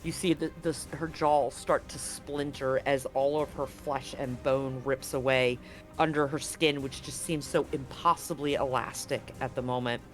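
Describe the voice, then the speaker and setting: A man's voice: medium pitch, fairly even intonation, normal speed, fairly bright tone, soft background music. A man game master narrating a tabletop horror game in vivid, graphic detail with slangy asides.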